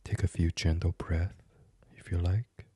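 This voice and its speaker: Male sleep meditation host: hushed, very husky and breathy, unhurried, gentle, recorded close and dry. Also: sits low, level tone, no room sound. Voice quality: breathy, husky, partly whispered. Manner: soothing, hushed, gentle, with many pauses. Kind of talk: guided sleep meditation, soft ASMR reading.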